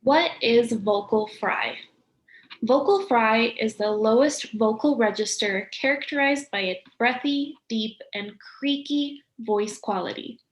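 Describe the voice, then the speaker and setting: Young female student presenter, slightly high voice, measured scripted read, echoey room. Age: young adult. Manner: measured, informative reading. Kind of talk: scripted educational narration. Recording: roomy echo.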